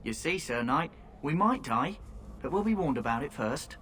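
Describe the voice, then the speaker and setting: A sardonic young rogue. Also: male voice, a little high, very animated, moderate pace, fairly bright tone, a little hiss or hum. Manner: mocking, sardonic.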